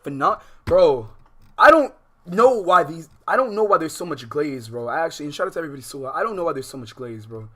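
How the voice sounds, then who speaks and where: Incredulous man riffing casually, slightly high voice. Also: expressive, fast, fairly bright tone. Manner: incredulous, emphatic, repeats himself. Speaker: livestream host reacting to a video. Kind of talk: casual reaction commentary with slang.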